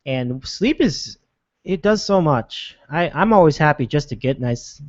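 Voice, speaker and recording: Upbeat talk-show host, dull dry sound. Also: male voice, a little high, lively intonation, no echo. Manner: upbeat, affirming, halting with fillers. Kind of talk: casual chat.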